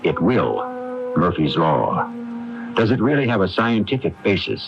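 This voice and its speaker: A man playing a grave, wry storyteller in an old-time radio mystery, speaking in a measured, theatrical way. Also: sits low, very animated, creaky, heavily acted. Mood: wry, ominous. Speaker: host and narrator. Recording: dull AM radio broadcast recording. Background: music.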